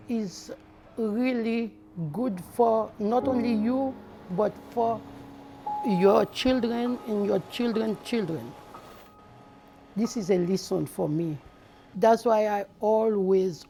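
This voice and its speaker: Slow-speaking Haitian woman. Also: medium pitch. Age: middle-aged. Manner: earnest, non-native English phrasing. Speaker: documentary interviewee, mother. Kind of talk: personal testimony in a documentary interview. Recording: music under the voice. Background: instrumental music.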